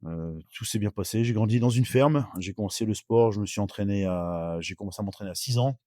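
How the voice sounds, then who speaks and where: A man's voice: lowish voice, a little fast, warm tone, no room sound, studio quality. A middle-aged man from northern France, a podcast guest telling his life story casually, with many fillers and restarts. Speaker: interview guest.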